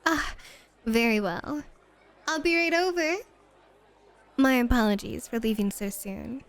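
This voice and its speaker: A woman's voice: on the high side, measured pace. A woman playing a flirty, teasing, self-assured club hostess in an ASMR roleplay. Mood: gracious.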